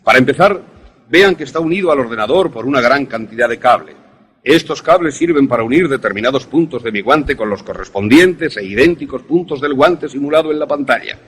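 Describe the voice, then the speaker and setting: A man acting an enthusiastic professor in a radio drama, lecturing in a deliberate, didactic, theatrical way. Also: mid-range voice, animated, a bit bright, slightly rough. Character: enthusiastic male professor demonstrating an invention to students.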